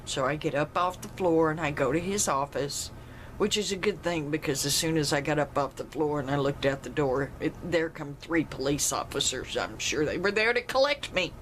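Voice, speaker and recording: A woman with a loud, carrying voice, telling a personal story emphatically. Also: expressive, normal speed, slight background noise. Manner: emphatic, rises in pitch when retelling her protest.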